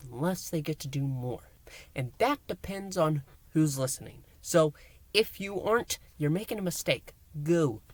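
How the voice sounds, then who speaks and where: Theatrical male reviewer, higher-pitched voice. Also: no echo. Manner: theatrical, emphatic, with dramatic pauses. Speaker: host, reviewer. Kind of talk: enthusiastic scripted-style recap and review to listeners.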